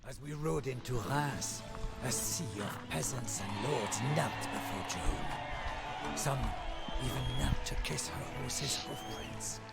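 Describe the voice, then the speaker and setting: A man playing a battle-weary French soldier who narrates a video game's storybook journal in a solemn, theatrical, measured way. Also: medium pitch, animated, gravelly, over loud music. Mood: solemn pride. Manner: solemn, theatrical, measured delivery with hushed phrase endings.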